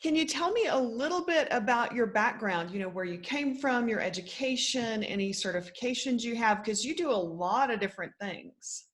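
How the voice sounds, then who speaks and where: A woman's voice: on the high side, animated, normal speed, hardly any pauses. A woman hosting an interview, asking a guest about her work with friendly enthusiasm. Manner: friendly, eager questions. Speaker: interview host, dietitian.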